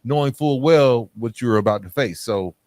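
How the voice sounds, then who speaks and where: A man's voice: medium pitch, moderate pace, darkish tone, no room sound. A man hosting an interview podcast, putting questions to a guest in a conversational style. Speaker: podcast host.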